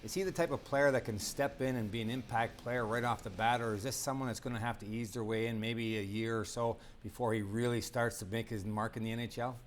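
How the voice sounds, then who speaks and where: Medium-pitched male sports talk interviewer. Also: fairly even intonation, fairly quick, few pauses. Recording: faint background noise.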